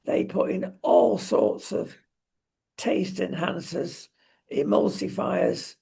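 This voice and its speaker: A male talk show host with a very raspy, heavily creaking voice. He speaks in short, emphatic phrases and readily hands out opinions and advice. His pitch sits high for a man. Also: fairly even intonation, slow pace, warm tone. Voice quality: husky, gravelly. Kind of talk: opinionated casual discussion.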